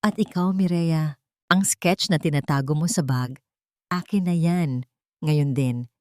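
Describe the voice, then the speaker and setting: A woman's voice: sits low, measured pace, darkish tone, no room sound, clean studio sound. A woman voicing a menacing rich young wife who taunts and commands in an audio drama story. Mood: scornful.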